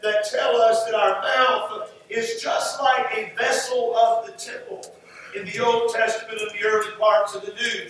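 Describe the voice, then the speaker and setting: A man delivers a sermon in a high-pitched voice that rings heavily around the room. He is picked up from a distance from the mic, with music in the recording. His preaching is emphatic, leaning on repeated phrases. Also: fairly bright tone, slight background noise. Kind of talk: sermon with scripture teaching and prayer. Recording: roomy echoing hall, distant mic, music.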